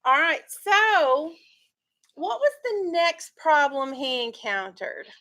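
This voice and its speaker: A woman's voice: high voice, big pitch swings, slow, bright, forceful tone. A woman teaching young schoolchildren in a classroom, leading a reading discussion with instructive questions. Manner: instructive, questioning, checking understanding.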